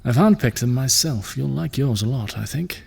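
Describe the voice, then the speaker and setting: Man playing a husky, weary fatherly ruler. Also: mid-range voice, lively intonation, normal speed. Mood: fond, teasing. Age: middle-aged. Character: gentle fatherly ruler, weary, reassuring widower. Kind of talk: audio drama acting.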